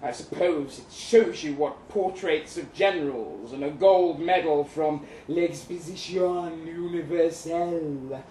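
A man's voice: on the high side, takes it slowly, hardly any pauses, dark tone. A man performing a live dramatic reading as a bitter, witty crippled young Russian ex-soldier, in a theatrical, florid, wry manner. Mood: wry, storytelling. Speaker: performer reading a short story aloud. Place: live event venue in London, indoors. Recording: roomy, distant live recording with noise.

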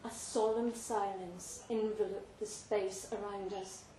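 A woman reading a literary story aloud to a live event, theatrical and deliberate, with dramatic pauses. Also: medium pitch, steady tone, warm tone. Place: indoor live reading in a pub venue. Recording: roomy live venue, faint background noise.

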